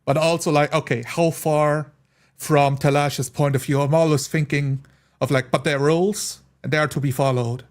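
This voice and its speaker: A man with a slightly high voice talks casually with his gaming group. He explains his thinking through examples, like a player discussing his character. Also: fairly even intonation, normal speed. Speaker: tabletop role-playing player. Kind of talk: casual group chat about a role-playing game.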